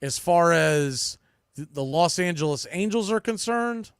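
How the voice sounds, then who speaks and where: A man with a slightly high-set voice talks through baseball as a podcast host. He speaks off the cuff in a conversational way, often revising himself mid-thought. The sound is dry, with very little room around it. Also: lively intonation, a little slow, clean tone, dry recording. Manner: conversational, thinking aloud with self-corrections. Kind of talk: sports preview commentary, off the cuff.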